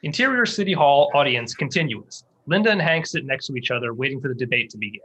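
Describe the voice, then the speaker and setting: A reader delivers screenplay action lines as narration. The voice is mid-pitched, husky and sharp-edged. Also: lively intonation, fast, low-quality recording. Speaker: stage-direction reader. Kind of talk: scripted narration of screenplay directions.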